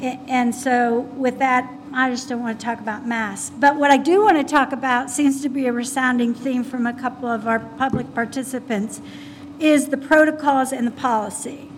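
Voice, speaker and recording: A woman on a school board speaking at a public meeting, soft and mousy in voice, hesitant with many fillers and restarts. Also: high-pitched, fairly even intonation, normal speed, slight background noise, low-quality recording. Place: meeting room.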